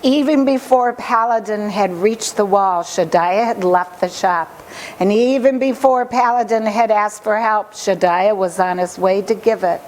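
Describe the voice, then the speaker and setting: A woman reading a children's story aloud with expressive, dramatic and deliberate phrasing. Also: mid-range voice, a little hiss or hum, low-quality recording.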